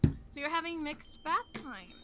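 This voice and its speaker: A woman's voice: high-pitched, lively intonation, moderate pace. A woman streaming a game, mixing casual commentary with reading character dialogue aloud.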